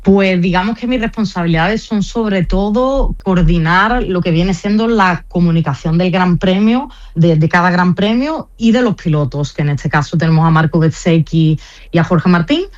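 A woman's voice: lowish voice, normal speed, a little mellow. Chatty woman on a muffled line. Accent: Andalusian Spanish mixed with Italian. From Spain. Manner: chatty, many fillers and restarts. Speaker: press officer of a racing team. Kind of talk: phone-in radio interview.

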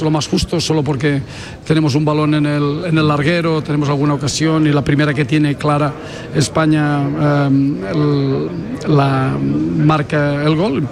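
A man who coaches a national football team, giving a post-match interview in a halting, measured way and often searching for words. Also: fairly high pitch, vocal fry. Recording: crowd noise behind.

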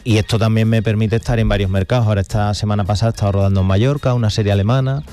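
A man's voice: fairly low pitch, steady tone, racing, mellow, smooth, faint music behind. A man in his forties with an Andalusian accent from Seville, an actor chatting casually as an interview guest. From Seville, Spain.

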